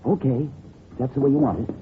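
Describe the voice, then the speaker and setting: An actor playing a forgetful, chatty old hotel desk clerk who rambles and repeats himself, in 1950s radio drama style. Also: male voice, mid-range voice, brisk, lightly acted. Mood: eager, oblivious. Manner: rambling, repetitive, muddled. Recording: lo-fi vintage radio, noisy.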